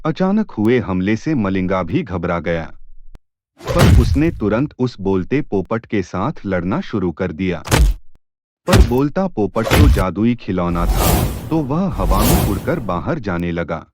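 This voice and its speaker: A man's voice: low-pitched, big pitch swings, takes it slowly, heavy background noise. A man narrating a scripted cartoon story as a dramatic storyteller.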